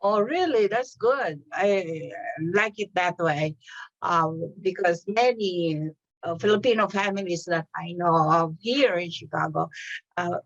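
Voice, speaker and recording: A middle-aged woman interviewer talks slowly and haltingly, feeling her way through each question. Her voice comes over a dull, slightly muffled webcam line with a dry sound. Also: on the low side, no room sound. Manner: hesitant, many fillers and restarts while forming questions. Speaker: host. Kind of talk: interview over video call. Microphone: video call webcam.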